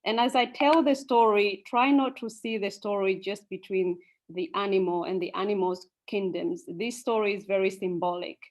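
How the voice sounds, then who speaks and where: Unhurried woman telling a folk tale. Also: medium pitch, a little mellow. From Tanzania. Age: young adult. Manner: unhurried, with many ums and uhs. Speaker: speaker. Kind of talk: storytelling talk.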